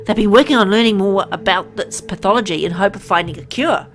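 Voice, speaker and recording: A woman's voice: mid-range voice, fairly quick, noisy background, natural voice. A woman voicing a frank, secretive medical insider in a visual novel, explaining in an earnest, conspiratorial way. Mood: frank, urgent.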